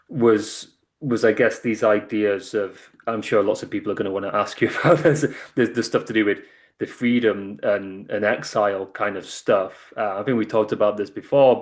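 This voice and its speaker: A man moderates a panel talk in a fairly low voice. He asks long, thoughtful questions that wind through several qualifications. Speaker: philosophy lecturer, moderator.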